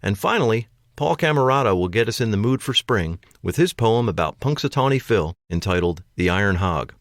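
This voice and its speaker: A man's voice: fairly low pitch, animated, fairly quick, dry recording. Man hosting a podcast, dry close sound. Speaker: podcast host. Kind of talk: scripted podcast introduction.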